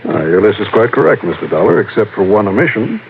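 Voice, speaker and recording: A precise small-town lawyer in a radio play. His voice sits somewhat low and he speaks courteously, with fussy care. The old recording is phone-like and faintly noisy. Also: sing-song, fast. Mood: courteous, helpful. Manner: careful, formal, slightly fussy. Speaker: supporting actor. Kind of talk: old-time radio drama acting.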